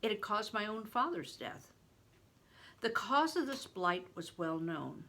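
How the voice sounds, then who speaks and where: An older woman reading a novel aloud in an expressive, deliberate storytelling manner, stressing words for drama. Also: medium pitch. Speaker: reader, retired reading teacher. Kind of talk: expressive audiobook-style read-aloud of a novel.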